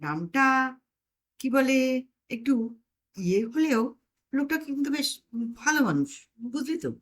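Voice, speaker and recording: A woman narrating a comic audio story in an expressive, emphatic storytelling manner. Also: high-pitched, unhurried.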